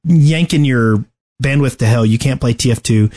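A man talks casually as a co-host on a gaming podcast. His delivery is halting, and he often stammers as he gets his sentences out. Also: medium pitch, warm tone.

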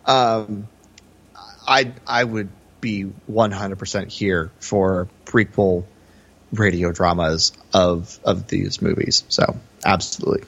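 A man's voice: sits low, fairly even intonation, takes it slowly, creaky, fairly bright tone. A male podcast host in casual film discussion, talking emphatically in a conversational way. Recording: slightly dull, band-limited podcast audio.

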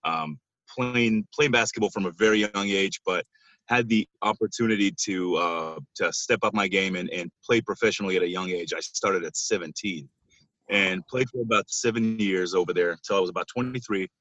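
A man's voice: deep voice, moderate pace, fairly bright tone, no echo, dull audio. A man in his thirties from Israel, giving conversational interview answers as a podcast guest over a band-limited call. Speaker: podcast guest, basketball coach.

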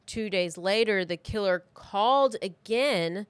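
Woman, medium pitch, informal true crime podcast co-host, conversational with halting asides; dry recording. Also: clean tone, dry recording. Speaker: podcast co-host telling the story. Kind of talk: casual true crime storytelling.

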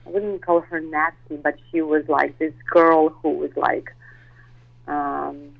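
A woman's voice: low-pitched, fairly even intonation, takes it slowly, creaky, dry recording, narrow, tinny audio. A woman, a guest actress and model, talking hesitantly in an interview with many fillers and restarts. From Russia. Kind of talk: interview chat.

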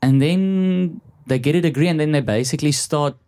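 A man's voice: on the high side, normal speed, mellow. A man with an Afrikaans accent from South Africa, hosting a casual chat and telling stories with frequent laughter. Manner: chatty storyteller, laughs often. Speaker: host.

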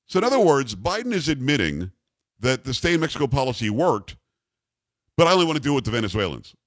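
A man's voice: mid-range voice, sing-song, fast, dry recording, studio quality. An American man hosting political talk radio, giving opinionated commentary in an emphatic, incredulous and sarcastic manner. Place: radio studio.

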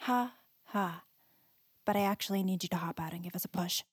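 A woman's voice: fairly low pitch, a little fast, husky, no echo, clean studio sound. A woman playing a sarcastic, bossy young woman on the run in a naturalistic audio drama. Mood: snippy. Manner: snippy, exasperated.

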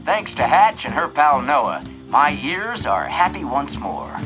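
A man's voice: a little high, sing-song, a little slow, over music, poor audio. A man playing a self-assured radio host in an audio drama, with a showy broadcaster delivery.

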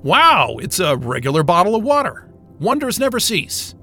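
An actor playing an irreverent, wisecracking astrophysicist in a sci-fi audio drama, with a sarcastic, informal and exasperated way of talking. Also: male voice, sits high, big pitch swings, normal speed, bright, forceful tone, soft background music, exaggerated voice. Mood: sarcastic, grumbling. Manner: sarcastic, informal, hesitant muttering and outbursts.

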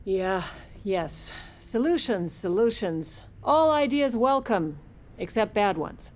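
A woman's voice: mid-range voice, lively intonation, slow. An actress playing a shrewd, protective First Lady who narrates her own story in an audio drama with a wry, commanding manner. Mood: brisk, stressed.

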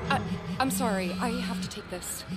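An actress plays an anxious young daughter in an audio drama. Her voice is high, and she speaks hesitantly, tripping over her words with an apologetic air. Music sits heavily behind her. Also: normal speed, close to an everyday voice. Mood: hesitant, subdued. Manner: hesitant, stammering. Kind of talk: naturalistic audio fiction acting.

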